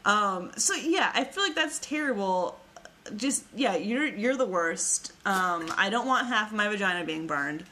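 A young woman co-hosting a comedy chat show, talking in a bright, sharp voice. She jokes crudely and laughs her way through her own riffs. A faint background hum sits under her voice. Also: medium pitch, lively intonation, unhurried. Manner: crude, joking, laughs often. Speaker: podcast co-host.

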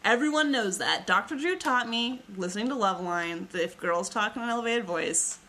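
A woman's voice: fairly high pitch, brisk, pushed and bright. A young woman co-hosting a casual comedy podcast, crude and joking, often laughing as she talks. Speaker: podcast co-host.

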